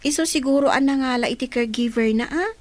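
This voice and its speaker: A woman's voice: high voice, fast talker, a little mellow, no echo, natural voice. A Filipino woman playing a chatty aunt looking after a child in a radio drama.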